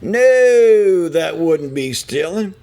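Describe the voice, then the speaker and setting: A man's voice: sits high, sing-song, extremely slow, mellow, pitched above the performer's own voice, full character voice. A man voicing his feisty, bossy old grandfather from the mountains in a spoken family story. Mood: insistent. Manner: bossy, insistent country talk.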